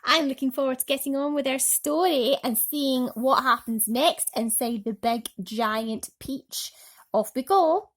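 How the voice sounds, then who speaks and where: A woman narrating a bedtime story for children as an expressive storyteller. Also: high-pitched, measured pace.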